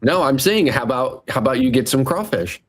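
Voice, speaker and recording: A man's voice: mid-range voice, animated, brisk. A man taking part in a show, chatting casually. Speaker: show participant. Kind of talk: casual chat.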